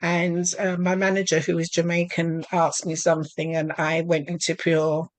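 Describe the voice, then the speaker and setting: A woman's voice: fairly low pitch, flat delivery, moderate pace, no room sound. A woman hosting an interview, with a Barbadian accent, asking long rambling questions full of ums. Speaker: host and novelist. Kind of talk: casual interview chat.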